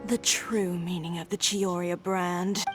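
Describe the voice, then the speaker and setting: An actress playing a composed, sharp-tongued fashion designer in a video game, cool, cutting and self-assured. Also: female voice, fairly low pitch, flat delivery, a little slow, over music, close to an everyday voice. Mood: resolute.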